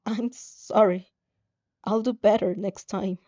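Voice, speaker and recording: A woman's voice: medium pitch, fairly even intonation, warm tone, muffled recording, close to an everyday voice. A woman voicing a terrified young woman who pleads and apologizes in a weak, stammering, tearful voice. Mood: weak, subdued. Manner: halting, apologetic.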